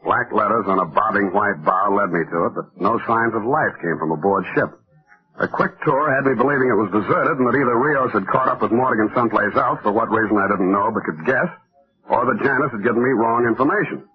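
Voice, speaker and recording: An actor playing a wry, cynical American private detective in a deadpan 1940s radio style. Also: male voice, on the low side, a little fast, crowd noise, close to an everyday voice. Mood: dry narration. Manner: sardonic, deadpan. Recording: thin, muffled vintage radio recording.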